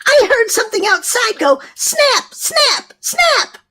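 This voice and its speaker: Storybook role: anxious, sleepless young kid played by a woman, very high-pitched, pleading, exclamatory. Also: big pitch swings, takes it slowly, sharp tone, full character voice. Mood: pleading, upset. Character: frightened young child who can't sleep, anxious and loud. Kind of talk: children's storybook read-aloud.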